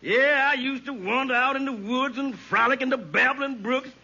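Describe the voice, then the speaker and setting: A man playing an excitable, shady bachelor in an old radio comedy, emphatic and comically earnest. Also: very high-pitched, normal speed, gravelly, husky, heavily acted. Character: excitable, shady bachelor lawyer full of silly schemes. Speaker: sitcom actor. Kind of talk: 1940s-50s radio comedy acting. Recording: muffled, noisy old radio recording.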